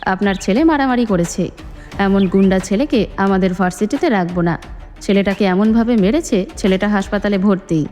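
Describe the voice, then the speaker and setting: A woman's voice: mid-range voice, normal speed, a little mellow, lightly acted. A woman storyteller voicing a timid, worried college principal who speaks formally and complains. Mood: complaining. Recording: long reverb, music underneath.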